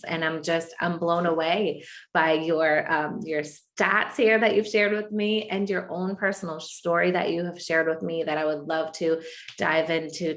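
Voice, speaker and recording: A woman hosting a podcast, introducing and interviewing a guest with effusive enthusiasm. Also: on the low side. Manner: effusive, enthusiastic, repeats "amazing". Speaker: podcast host.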